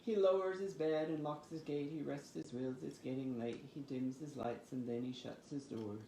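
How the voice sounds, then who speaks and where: A man hosting storytime for young children, with an expressive, sing-song delivery. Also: mid-range voice, normal speed, gravelly. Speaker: children's library storytime host. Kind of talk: reading picture books and leading finger rhymes. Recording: roomy echo with faint background noise.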